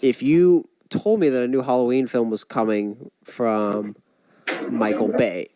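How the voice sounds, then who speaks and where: A man's voice: mid-range voice, fairly even intonation, a little slow, dark tone, slight background noise. A man co-hosting a podcast, casually discussing films and giving his opinions with examples. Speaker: podcast co-host.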